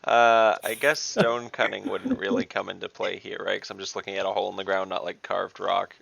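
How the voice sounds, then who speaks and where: A man playing a tabletop game online, casually thinking aloud in a deliberate, hesitant way. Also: low-pitched, flat delivery, creaky, a bit bright, no room sound, lo-fi sound.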